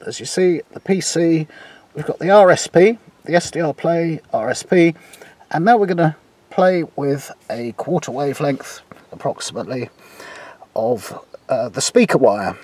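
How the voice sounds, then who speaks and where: An older man presenting an informal hands-on tutorial outdoors, explaining in a halting way with hesitant pauses. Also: a little high, a little mellow, slightly rough, no room sound. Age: older, about 60s. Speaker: presenter of a radio demonstration. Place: outdoors in a garden beside a field.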